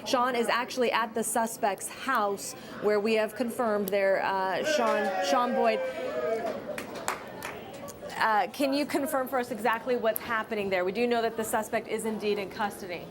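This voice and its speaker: A woman's voice: a little high, normal speed, crowd noise. An American woman anchoring live, unscripted breaking news coverage.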